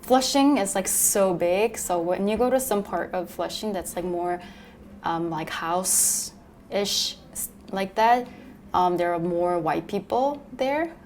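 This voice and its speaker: A woman's voice: on the low side, slow, darkish tone. Hesitant young woman, faint room noise. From Korea. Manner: hesitant, many fillers. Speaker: documentary interviewee. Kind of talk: casual testimony.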